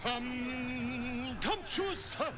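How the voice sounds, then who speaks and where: Very high, timid, stammering fellow. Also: male voice, drawn-out words, background noise, full character voice. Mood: flustered. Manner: hesitant, stammering, politely flustered.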